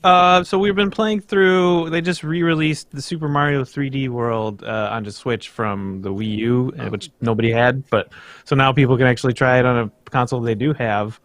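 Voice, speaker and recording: A young man in casual chat on a livestream, rambling and halting with fillers. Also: mid-range voice, steady tone, a bit bright. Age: young adult. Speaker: livestream co-host.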